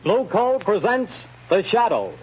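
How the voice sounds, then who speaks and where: Male 1930s radio announcer, high voice, formal emphatic sponsor read, muffled old broadcast sound, faint music. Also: sing-song, a little mellow, husky. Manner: formal, emphatic, measured phrasing.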